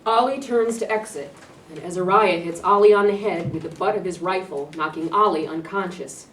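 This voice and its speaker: Lower-voiced woman narrator, echoey room. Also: normal speed. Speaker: narrator reading stage directions. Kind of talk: scripted narration. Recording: distant echoey room, faint noise.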